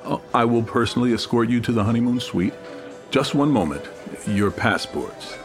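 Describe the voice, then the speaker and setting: A man's voice: medium pitch, expressive, normal speed, faint music behind, lightly acted. Male actor for effusive hotel concierge, audio drama: formal, eager, swooping delivery. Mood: eager, welcoming. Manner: overly formal, eager to please. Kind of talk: audio fiction acting.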